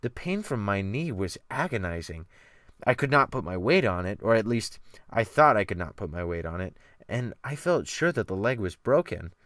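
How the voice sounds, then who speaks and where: A man narrating a literary audiobook in a theatrical style with deliberate phrasing, close to the microphone. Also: fairly low pitch, sing-song, a bit bright, dry recording. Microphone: close USB desktop microphone.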